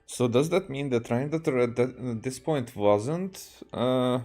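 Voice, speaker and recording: Slow, halting man commenting on a game. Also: medium pitch, darkish tone. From Hungary. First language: Hungarian. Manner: hesitant, halting, thinking aloud. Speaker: game streamer. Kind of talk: casual gameplay commentary. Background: game music.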